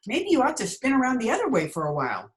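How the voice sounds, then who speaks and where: A woman playing a wry, quick-witted schoolteacher in old-time radio comedy style, with dry wisecracks. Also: fairly high pitch, wildly swooping pitch. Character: wry, quick-witted female schoolteacher covering for her boss.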